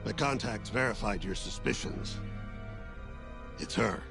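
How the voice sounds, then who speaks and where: A man's voice: lowish voice, lively intonation, measured pace, creaky, music in the background. A man playing a wary, serious rebel intelligence officer in a video game, speaking with grave concern. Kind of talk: video game cutscene dialogue.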